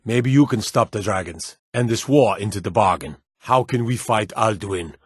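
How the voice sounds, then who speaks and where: A stern, loyal guard commander from a fantasy game. His voice sits fairly low and has a very husky grain, recorded close and dry. He speaks gruffly and formally, with weight behind his words. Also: lively intonation, normal speed, no echo. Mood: grave. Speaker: voice actor for game guard lines. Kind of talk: scripted fantasy video game acting.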